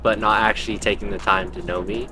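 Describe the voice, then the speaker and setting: A man's voice: on the low side, steady tone, fast talker, over music. A man giving a thoughtful, searching answer in a street interview.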